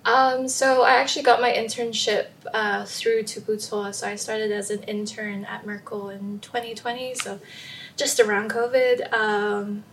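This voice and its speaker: A young woman from Samoa, a podcast guest talking softly and casually with many fillers. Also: mid-range voice, flat delivery, a little slow, slight background noise. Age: young adult. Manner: soft-spoken, frequent "um" and "like". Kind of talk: casual interview talk.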